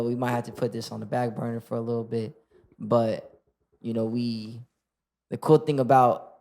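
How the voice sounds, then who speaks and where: Young man rapper, loose casual talk. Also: medium pitch. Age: 20s. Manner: relaxed, lots of "like" and restarts. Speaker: rapper guest. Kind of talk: casual interview chat.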